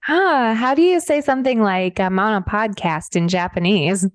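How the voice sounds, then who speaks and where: A woman's voice: medium pitch, moderate pace, no echo. Woman podcast host, casual and upbeat, dry room. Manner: upbeat and chatty, with frequent ums. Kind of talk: casual interview chat.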